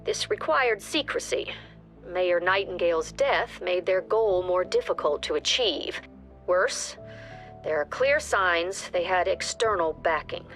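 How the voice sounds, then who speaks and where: An actress playing a stern commander briefing a tactical police squad in a clipped, authoritative way. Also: female voice, lowish voice, animated, a little slow, a bit bright. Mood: stern, businesslike. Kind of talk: scripted game briefing narration. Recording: music under the voice.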